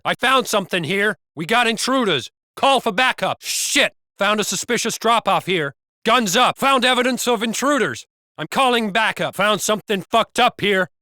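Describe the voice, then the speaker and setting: American police officer, gruff, profane, colloquial, video game character lines, dry studio sound. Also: male voice, sits high, bright, forceful tone, no echo.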